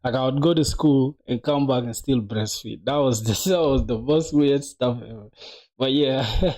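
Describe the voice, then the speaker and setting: A man's voice: mid-range voice, normal speed, darkish tone. A man in his twenties chatting casually on a coding livestream, slangy and familiar with his viewers, talking to them like buddies. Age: 20s. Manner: slangy, addresses viewers as bro and dog. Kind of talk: casual live-stream chat while coding.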